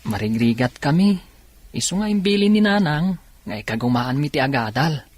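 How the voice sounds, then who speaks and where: Man playing a husky, kindly young man. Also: mid-range voice, lively intonation, moderate pace, natural voice. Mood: sympathetic. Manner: sympathetic, earnest. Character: kind, encouraging young working male student. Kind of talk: radio drama acting.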